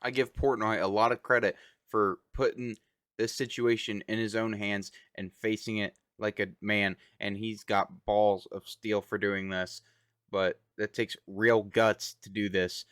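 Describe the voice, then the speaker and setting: A man's voice: fairly low pitch, level tone, measured pace, fairly bright tone, no room sound, clean studio sound. A man giving news reaction commentary as the host of a show.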